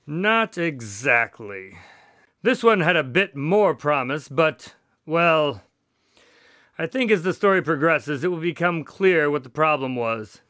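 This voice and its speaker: A man's voice: a little high, animated, slow, sharp tone, no room sound, studio quality, heavily acted. An actor playing a polite, wry, long-suffering doctor who tells his story in a radio drama, formal and good-natured. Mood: genial storytelling.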